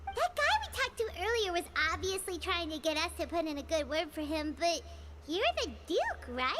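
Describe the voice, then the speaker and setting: Squeaky, excitable little fairy-like companion. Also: female voice, normal speed, heavy background noise. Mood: curious, wary. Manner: squeaky, talks about herself in third person. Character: small excitable childlike female floating companion, greedy for treasure.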